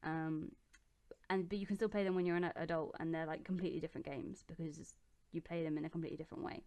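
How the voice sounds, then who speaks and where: Smooth-voiced young woman, chatty and relaxed. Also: sits low, fast. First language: English. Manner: chatty and relaxed, with many ums and self-corrections. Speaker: YouTuber answering viewer questions. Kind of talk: casual chat. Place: her bedroom.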